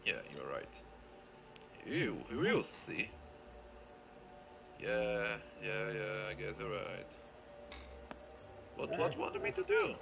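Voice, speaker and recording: A man with a heavily creaky, gravelly voice commentates a video game. His pitch swings in a very animated way as he rambles playfully and thinks aloud. The recording is clearly noisy, with game ambience behind him. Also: slow pace, long pauses. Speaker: gameplay video creator. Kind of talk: casual let's play commentary.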